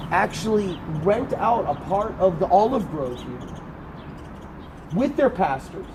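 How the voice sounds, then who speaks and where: Booming-voiced male tour guide outdoors. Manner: animated, conversational explaining to a group. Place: outdoors at a garden site. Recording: outdoor street noise.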